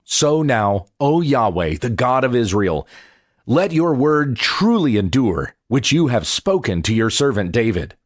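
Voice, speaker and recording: A man reading the Bible aloud as a pastor, with solemn, measured phrasing. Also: medium pitch, no echo, clean studio sound.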